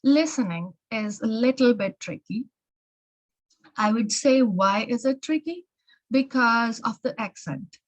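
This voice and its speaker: A woman's voice: a little high, expressive, unhurried, low-quality recording. Woman instructor with an American-tinged accent, informal and chatty, repeats herself while giving exam tips. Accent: learned American English. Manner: chatty, repeats words and restarts often.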